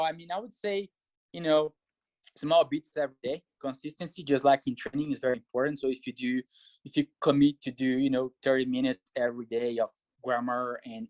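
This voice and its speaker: A man talks through his answers in a measured way, pausing on fillers as he thinks. His voice sits at a middle pitch for a man. The sound is dry and close, with no room echo. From Brazil. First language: Portuguese. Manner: many fillers, thoughtful and measured. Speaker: pro triathlete and coach. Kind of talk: casual interview answers.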